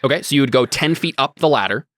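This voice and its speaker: A man's voice: medium pitch, animated, fast, a bit bright, no echo, clean studio sound. A young man running a tabletop role-playing game as game master, talking casually and thinking aloud as he reads rules text.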